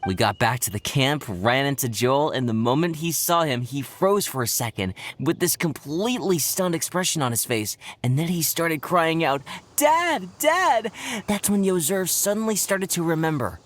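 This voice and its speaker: A man's voice: a little high, sing-song, moderate pace, a bit bright. An actor playing an earnest, modest, plucky young male adventurer in a video game. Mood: earnest recounting. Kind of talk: dramatic storytelling in video game voice acting.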